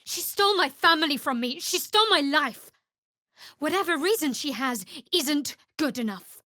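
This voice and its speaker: An actress playing a guarded, sardonic young female cleric of a dark goddess in a video game, speaking with dry, wry, clipped sarcasm. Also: sits high, animated, moderate pace, no room sound. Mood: angry, cutting. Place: dry studio recording.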